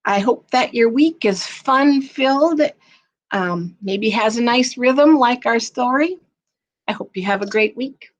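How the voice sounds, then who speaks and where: A woman reading and chatting for a children's storytime in a playful, expressive way. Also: on the high side, a little slow. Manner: playful, expressive, sing-song when reading. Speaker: guest storyteller. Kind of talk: children's storytime read-aloud and casual chat.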